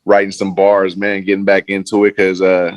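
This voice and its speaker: A man's voice: low-pitched, completely flat delivery, normal speed, dry recording, clean studio sound. A man being interviewed about his football career, talking casually and loosely with lots of fillers and slang. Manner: laid-back, slangy, trails off with fillers. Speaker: interview guest, former football player. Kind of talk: casual conversation.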